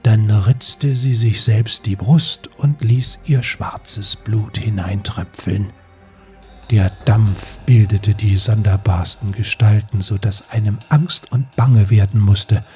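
A man with a breathy, husky voice giving a measured, solemn narration of a fairy tale for an audiobook. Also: on the low side, steady tone, darkish tone, soft background music.

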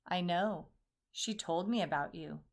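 A woman doing a gentle deep-voiced old wood carver. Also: vocal fry. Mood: tender. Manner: slow, soft, kindly.

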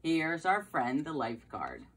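A middle-aged woman with a low voice reads a children's picture book aloud. Her pace is unhurried and her intonation very animated, with exclamations and questions aimed at small listeners. She sounds like a preschool teacher, recorded on a phone at home. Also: slightly rough. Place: carpeted basement room at home, indoors.

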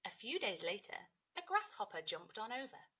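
A woman's voice: medium pitch, big pitch swings, moderate pace, bright, forceful tone, slightly rough. A woman in her thirties, a teacher, narrating a children's story expressively.

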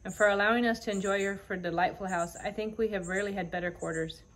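A woman voicing a tiny, adventurous queen in a children's story, light and clear, with a gracious, formal manner. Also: medium pitch, fairly even intonation, fast, a bit bright, lightly acted.

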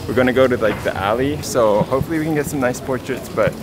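Male vlogger, twenties, casual and conversational, medium pitch, camera at arm's length outdoors, clear music bed. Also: quick pace. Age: 20s. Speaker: vlogger and photographer. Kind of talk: casual vlog talk to camera. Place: city streets, station and covered alleys, outdoors. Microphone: camera held at arm's length.

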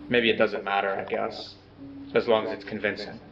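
An awkward, earnest man played by an actor talks haltingly into a video letter, modest and unsure of himself. His voice sits a little low. It comes through echoey and somewhat distant, as a played-back recording. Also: little pitch movement, creaky. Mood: hesitant, subdued. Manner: hesitant, halting, softly self-effacing. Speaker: narrator of a personal video letter. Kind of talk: low-key found-footage video message monologue. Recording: dull, echoey, distant playback with music.

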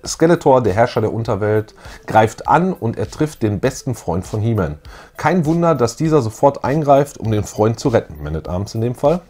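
A man's voice: medium pitch, lively intonation, normal speed, warm tone. A middle-aged man co-hosting a retro review show in casual, unscripted chat. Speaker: co-host of retro audio play review show. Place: small indoor room full of posters.

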